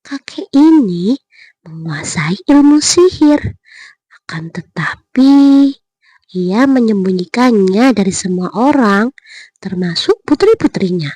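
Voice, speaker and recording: A woman narrates a bedtime fairy tale as a storyteller. She takes her time, phrasing each part deliberately and expressively, in a close, dry recording. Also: medium pitch, animated, mellow, husky, no room sound.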